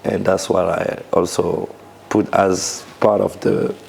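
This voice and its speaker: Man, low voice, gravelly, rambling restarts, casual interview. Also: level tone, takes it slowly, a little mellow, slight background noise. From Senegal, raised in France. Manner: loose, searching phrasing with many restarts. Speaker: dancer, DJ and event founder.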